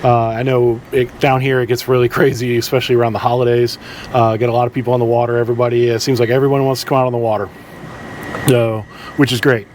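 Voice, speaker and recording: A man talks through practical tips in a casual vlog style. His mid-range voice moves in a halting, conversational way. A low ambient drone sits faintly behind the speech. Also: flat delivery, darkish tone, slight background noise. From southeastern United States. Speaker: charter boat captain presenting tips.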